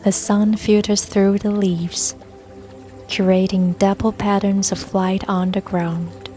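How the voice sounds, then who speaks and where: Female meditation guide, mid-pitched, very unhurried and gentle, scripted calming read over soft music and water. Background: soft music and babbling brook sounds.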